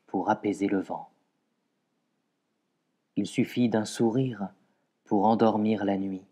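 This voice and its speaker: Man, poetry reading, slow pace, lowish pitch, measured phrasing with pauses. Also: warm tone. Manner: measured, pausing between short phrases. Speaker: poetry reader. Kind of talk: poetry recitation.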